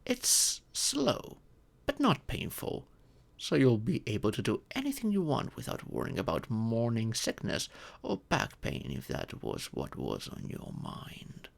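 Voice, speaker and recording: A gentle old dragon in humanoid form, acted by a man, speaks slowly with a creaky edge. The pitch swings widely through formal, patient explanations, as a doting parent would give in an audio roleplay. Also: huge pitch swings, heavily acted. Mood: gentle, reassuring. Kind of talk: scripted audio roleplay monologue.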